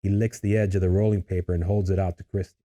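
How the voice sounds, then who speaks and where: Low, mellow male screenplay narrator. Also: level tone, fast talker. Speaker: narrator reading stage directions.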